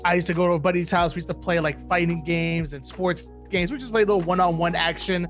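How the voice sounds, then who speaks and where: High-voiced New Jersey man, playful and casual. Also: fairly even intonation, quick pace, fairly bright tone, soft background music. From New Jersey, United States. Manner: playful, informal, repeats phrases. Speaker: interview guest, esports caster and content creator. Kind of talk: casual interview chat.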